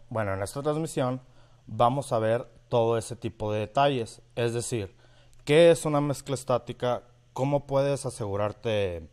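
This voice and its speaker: Chatty Mexican studio engineer host. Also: male voice, mid-range voice, a little mellow. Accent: northern Mexican. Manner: chatty, greets viewers by name. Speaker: audio engineer, livestream host. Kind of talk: live-streamed mixing tutorial. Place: home studio, indoors.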